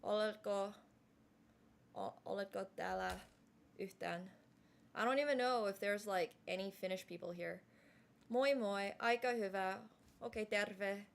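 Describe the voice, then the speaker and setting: A woman's voice: mid-range voice, vocal fry. A young woman whose first language is Mandarin chats casually with her stream audience in a mid-range voice. She talks loosely and keeps going, filling gaps with "like" and restarting her sentences. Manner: chatty, many "like" fillers and quick restarts. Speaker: live streamer. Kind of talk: casual live-stream chat.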